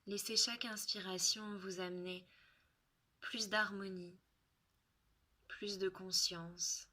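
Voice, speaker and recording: A woman leading a guided relaxation in French, soothing and unhurried, with soft, breathy phrase endings and long pauses. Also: mid-range voice, steady tone.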